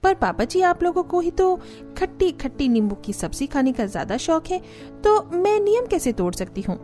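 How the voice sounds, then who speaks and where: Woman acting young daughter-in-law, high pitch, sharp-tongued, grumbling, sarcastic, cartoon, music behind. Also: big pitch swings, normal speed.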